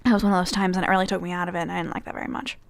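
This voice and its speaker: A woman's voice: fairly low pitch, flat delivery, very fast, gravelly, dry recording. A young woman from Michigan with a Midwestern American accent, chatting casually through a fan questionnaire, rambling with lots of fillers and self-corrections. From Michigan, United States. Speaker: vlogger.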